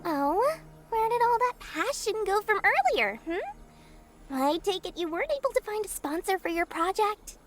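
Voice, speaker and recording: A woman's voice: very high-pitched, very animated, moderate pace, soft background music. A woman voicing a shrewd, smug, childlike girl merchant in a video game, theatrical, haughty and teasing. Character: shrewd, smug young female merchant, childlike and money-minded. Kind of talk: video game character acting.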